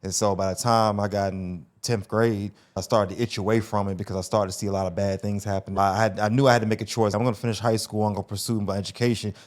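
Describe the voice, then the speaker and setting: A man in his forties from Las Vegas, a podcast guest talking casually and slangily about his life. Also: lowish voice. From North Las Vegas, USA. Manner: laid-back storytelling, slangy, restarts phrases. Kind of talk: casual interview conversation.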